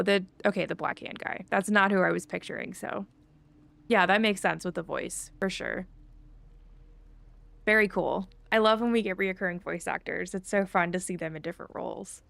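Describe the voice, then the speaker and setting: Chatty woman streamer with creaky voice. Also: mid-range voice, moderate pace, a bit bright, no echo. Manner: chatty, thinking aloud. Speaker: game streamer. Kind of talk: casual livestream chat while playing.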